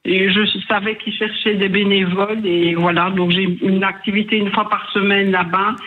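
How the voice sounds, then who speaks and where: A woman's voice: mid-range voice, little pitch movement, normal speed. A woman in her sixties, newly retired, calling a radio quiz by phone, hesitant and chatty. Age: 60s. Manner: hesitant, chatty, laughs easily. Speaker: caller. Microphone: phone on speakerphone. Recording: phone line with faint noise.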